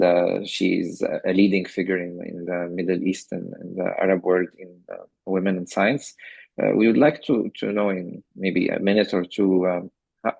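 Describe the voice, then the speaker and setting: A man moderating an online webinar, thanking the speaker and asking questions in a halting way, repeating words. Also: low voice, vocal fry, darkish tone, slightly rough, dry recording, rough recording. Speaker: webinar host. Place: video call.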